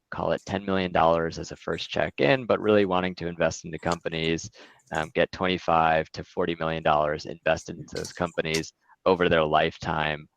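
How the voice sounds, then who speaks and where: A man presenting his investment firm in a relaxed webinar talk, with frequent fillers. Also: sits low, gravelly, dry recording, low-quality recording.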